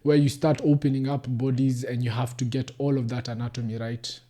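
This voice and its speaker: Mellow-voiced Kenyan man, explaining. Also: mid-range voice, level tone, brisk. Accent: Kenyan English. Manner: explanatory, mixes in Swahili phrases. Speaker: doctor, podcast guest. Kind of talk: casual conversation.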